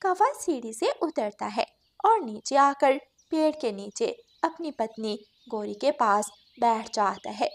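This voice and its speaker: A woman's voice: on the high side, lively intonation, unhurried. A woman reading scripted narration for a children's cartoon story.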